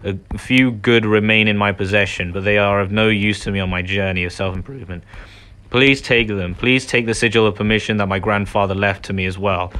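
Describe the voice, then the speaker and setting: A man's voice: fairly low pitch, steady tone, brisk, sharp tone, smooth. A man hosting a game playthrough, commenting and reading dialogue aloud, with game music behind. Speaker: gaming video host. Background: game music, faint.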